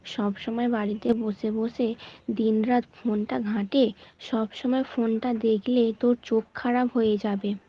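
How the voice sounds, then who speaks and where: A woman's voice: fairly high pitch, flat delivery, measured pace. Woman acting a nagging cartoon mother, dull sound. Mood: scolding, annoyed. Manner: scolding, complaining. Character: nagging, scolding mother of a phone-obsessed son.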